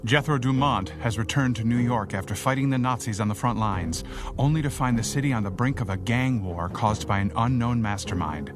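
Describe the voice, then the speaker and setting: A man announces a pulp radio drama in scripted narration. His voice sits in a middle register, with music playing clearly beneath it. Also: medium pitch, fairly quick.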